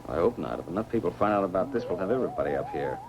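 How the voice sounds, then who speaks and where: Concerned space base man in old 50s sci-fi, talks fast, lively swings, noisy track. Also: low-pitched. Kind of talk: 1950s science fiction film acting. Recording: noisy old film soundtrack.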